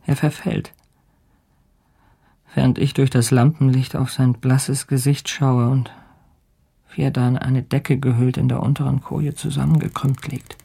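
An actor playing an earnest, troubled polar ship captain in a German radio drama, speaking formally and gravely. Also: male voice, medium pitch, little pitch movement, a little slow, dark tone. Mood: sombre. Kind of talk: German radio drama acting, letter narration.

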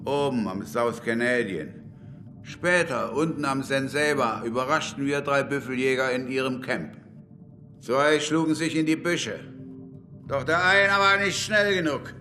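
A man's voice: mid-range voice, a little slow, bright, forceful tone. An actor playing a proud, bitter Comanche warrior who tells his story in solemn, defiant oratory in a German radio play. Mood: grim. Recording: music bed behind the voice.

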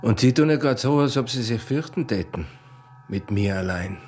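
An actor playing a stern, proud, curt border guard in a radio drama. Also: male voice, medium pitch, lively intonation, moderate pace, a little mellow, a little hiss or hum, close to an everyday voice. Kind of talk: radio drama acting in dialect.

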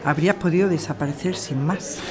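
A man acting a calm, frank campaigner with an accent from Spain. His voice is fairly high, and he talks plainly, as in an amateur radio play. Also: a little fast, crowd noise, close to an everyday voice. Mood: frank, appreciative.

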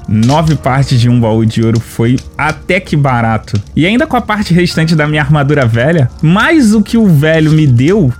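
A man's voice: on the high side, darkish tone, close to an everyday voice. A man playing a naive, hopeful armored adventurer musing aloud to himself in an audio drama. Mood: excited, pleased. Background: music.

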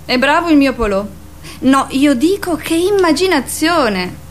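A young girl in a radio play with a high voice. She teases and doubts, then pleads and clings. There is clear noise in the recording. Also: expressive, measured pace. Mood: teasing, sceptical. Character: sceptical, clingy, teasing girl in love with boy. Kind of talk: radio theatre acting.

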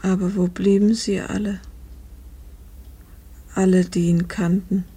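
An actress playing a devoted, grieving middle-aged wife in a 1950s German radio drama, her voice breathy and hushed, her phrases halting. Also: mid-range voice, little pitch movement. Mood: numb grief. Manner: slow, halting, repeating phrases.

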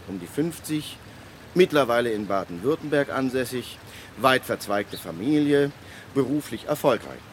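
An actor playing a government official in a radio play, telling a case emphatically with dramatic pauses and acted-out dialogue. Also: male voice, mid-range voice, a little hiss or hum, natural voice. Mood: indignant. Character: animated male government official recounting a land restitution case. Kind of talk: conversational monologue in a radio play.

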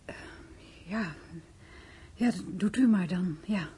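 An actress playing a hesitant, polite shop customer in a radio drama. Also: female voice, on the low side, dark tone, breathy edge, exaggerated voice. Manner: hesitant, unhurried.

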